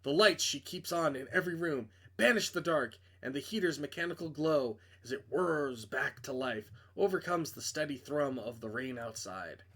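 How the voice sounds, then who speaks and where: A man's voice: on the high side, expressive, moderate pace, hardly any pauses, fairly bright tone. A man reading a visual novel aloud as dramatic narration, with casual asides when he stumbles and corrects himself.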